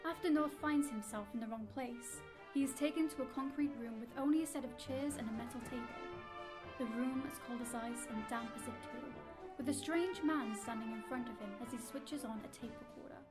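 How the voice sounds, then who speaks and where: A woman acting as the narrator of a spy audio drama, giving measured, scripted storytelling. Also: a little high, natural voice. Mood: measured, scene-setting. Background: music.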